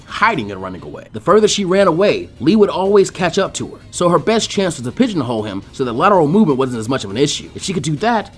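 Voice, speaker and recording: A man reading a fan fiction story aloud as an animated narrator. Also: a little high, husky.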